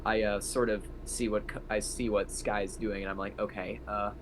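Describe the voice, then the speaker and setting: A man playing a tabletop roleplaying game, talking casually and haltingly, partly in character. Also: fairly low pitch, flat delivery. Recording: noisy home mic.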